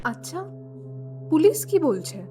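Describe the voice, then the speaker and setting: A woman playing an assertive, inquisitive middle-aged mother in an audio drama, brisk and insistent. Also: high-pitched, very animated, dark tone, soft background music, exaggerated voice.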